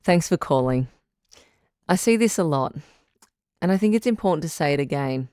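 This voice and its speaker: A woman playing a composed, frank relationship doctor who hosts a radio call-in drama in a measured, counselling way. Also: deep voice, lively intonation, warm tone, smooth, no room sound, clean studio sound. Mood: firm, instructive. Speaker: radio advice show host.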